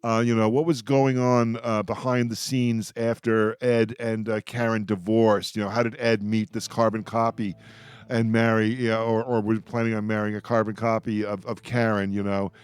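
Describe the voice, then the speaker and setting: A man hosting a radio roundtable, chatting as an enthusiastic fan in an effusive, halting way with repeated words. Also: lowish voice, little pitch movement, smooth.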